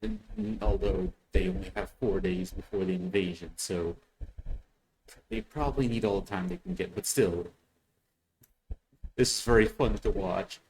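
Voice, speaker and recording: A man hosting a reaction video, giving casual unscripted commentary in a halting way, often repeating words as he thinks. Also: lowish voice, big pitch swings, creaky, a little mellow, rough recording. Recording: faint background noise.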